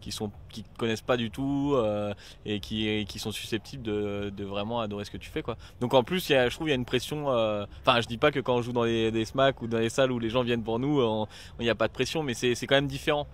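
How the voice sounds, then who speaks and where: A man's voice: mid-range voice, fast, hardly any pauses, a little hiss or hum. A man from a band chatting loosely in a festival interview, rambling with many fillers and casual slang. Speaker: band member. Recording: music playing behind.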